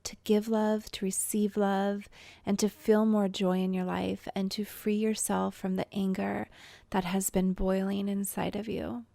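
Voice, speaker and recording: A woman leading a guided imagery meditation in a soft, soothing, gentle voice that turns breathy at times, with unhurried pauses. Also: medium pitch, flat delivery, no room sound. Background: soft background music.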